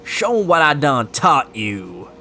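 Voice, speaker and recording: A man's voice: medium pitch, sing-song, takes it slowly, gravelly, sharp tone, faint music behind, exaggerated voice. A man voicing a folksy, loyal tow truck buddy in a children's storybook. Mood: coaxing. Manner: folksy, egging on.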